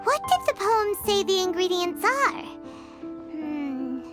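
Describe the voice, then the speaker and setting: A woman's voice: big pitch swings, music in the background, exaggerated voice. A woman voicing a tiny floating fairy companion, chirpy, blunt and bossy, in a game. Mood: puzzled. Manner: squeaky, bossy, quick to tease.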